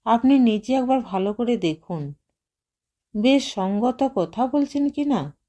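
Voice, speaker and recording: A woman reader voicing a nervous, polite gentleman neighbour, courteous and protesting, in an audio story. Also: on the high side, expressive, slow pace. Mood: polite firmness.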